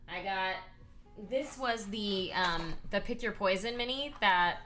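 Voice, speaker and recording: A hoarse woman streaming herself painting miniatures, chatting casually with many ums and reading chat aloud. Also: mid-range voice, a little slow, bright, forceful tone, faint music behind. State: hoarse, voice partly lost.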